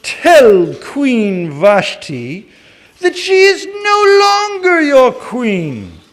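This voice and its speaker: Man performing a pompous puppet king, slow and swooping. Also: very high voice, wildly swooping pitch, exaggerated voice. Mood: indignant, imperious. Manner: theatrical, slow, emphatic delivery. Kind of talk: live children's puppet show acting.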